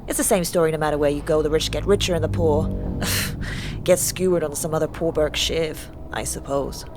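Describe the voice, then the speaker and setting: A woman's voice: deep voice, fairly even intonation, moderate pace, noisy background, lightly acted. A woman playing a wary, bitter young woman from a poor slum in a fantasy audio drama, speaking tersely. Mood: bitter, guarded.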